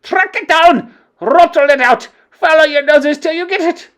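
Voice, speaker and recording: A woman voicing a tyrannical female witch leader who barks orders in a shrill, screeching voice. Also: very animated, unhurried. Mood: furious. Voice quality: screeching, commanding.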